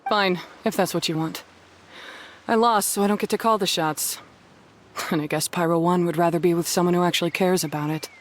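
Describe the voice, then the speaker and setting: A woman's voice: on the low side, steady tone, a little fast, slight background noise, lightly acted. A woman voicing a sullen, curt young female beast tamer in an anime game. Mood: sulky resignation. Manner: curt, grudging.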